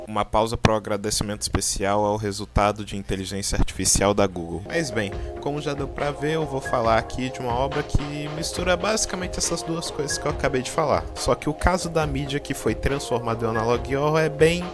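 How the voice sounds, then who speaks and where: A man with a Brazilian accent gives casual explainer commentary, informal and pausing for drama. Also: mid-range voice. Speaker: video creator and narrator. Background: background music.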